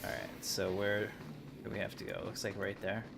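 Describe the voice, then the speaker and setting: A man's voice: lowish voice, moderate pace, gravelly. A man chatting casually with viewers as he livestreams and comments on his gameplay. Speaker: game livestreamer. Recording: steady noise behind the voice.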